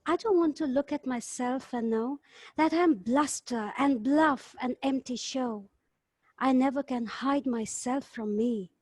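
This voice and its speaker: A woman's voice: sits high, a little slow, a little mellow, slightly rough, no room sound, clean studio sound. A woman taking part in an online poetry gathering, speaking and reciting as a member over a video call. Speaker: poetry group member reading a chosen poem.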